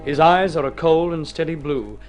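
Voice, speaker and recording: Higher-pitched man narrating old-time radio drama. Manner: measured, literary, dramatic delivery. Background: music under the voice.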